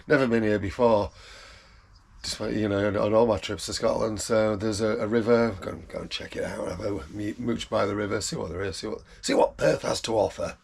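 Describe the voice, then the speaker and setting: A man with a gravelly, rough voice, chatting casually and wryly as he vlogs a day trip around a town, cracking small jokes. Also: fairly low pitch, brisk. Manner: chatty, wry, joking asides. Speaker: travel vlogger. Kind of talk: casual vlog commentary. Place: outdoors in a town by a river and in a vehicle. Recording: outdoor street and traffic noise.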